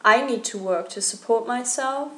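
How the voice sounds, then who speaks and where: Female student, 20s, calm and practical, echoey recording. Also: a little high, moderate pace. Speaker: student guest. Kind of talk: scripted panel discussion.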